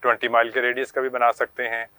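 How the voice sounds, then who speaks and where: A man's voice: medium pitch, completely flat delivery, fast, sharp tone, clean tone, no echo. A middle-aged Pakistani man giving explanatory interview answers as a guest expert, polite and deferential, often repeating his phrases. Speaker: guest expert, air traffic controller.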